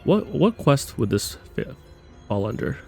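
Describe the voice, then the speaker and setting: A man's voice: mid-range voice, big pitch swings, measured pace, gravelly, a little mellow, faint music behind. A man giving casual game commentary, thinking aloud and speculating as he plays. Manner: musing, speculative. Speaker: gamer doing a playthrough.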